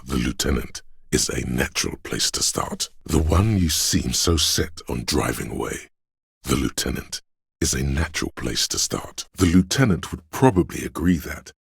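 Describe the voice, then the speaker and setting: An all-knowing inner voice of police instinct in a literary video game. It is extremely low and very husky, speaking with grave deliberation and dry irony. The sound is close and dry, with no room around it. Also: male voice, dry recording, heavily acted. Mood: grave, measured. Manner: measured, deliberate, dryly ironic. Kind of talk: second-person literary game narration.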